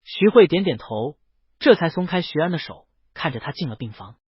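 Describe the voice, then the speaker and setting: A man's voice: a little high, sing-song, brisk, slightly rough, dry recording, highs cut off. A man reads a scripted story as an audiobook narrator, voicing the dialogue himself.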